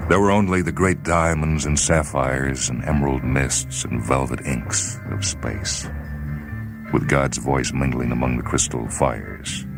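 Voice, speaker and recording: Male story reader, extremely deep voice, measured, solemn, dramatic narration, music underneath. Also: fairly even intonation, vocal fry. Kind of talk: dramatic scripted literary narration.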